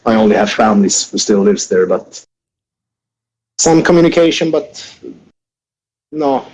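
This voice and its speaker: A man in his thirties from Sweden, a podcast guest talking plainly and in a relaxed way. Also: fairly low pitch, a little slow, low-quality recording. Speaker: guest band member. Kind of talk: casual podcast chat.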